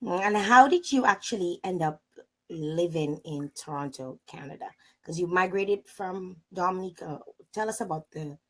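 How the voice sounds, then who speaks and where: A woman's voice: lowish voice, animated, moderate pace, fairly bright tone. A woman in her thirties, a talk show host chatting casually and expressively on a video call. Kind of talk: casual chat interview. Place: indoor room on a video call.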